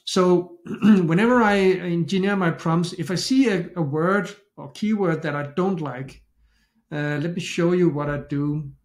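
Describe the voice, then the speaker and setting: Middle-aged Danish-accented man, fairly high voice, chatty tutorial host who restarts his sentences; echoey home office. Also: a little slow. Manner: chatty, self-interrupting, thinks aloud with restarts. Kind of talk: casual screen-share tutorial. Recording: echoey room.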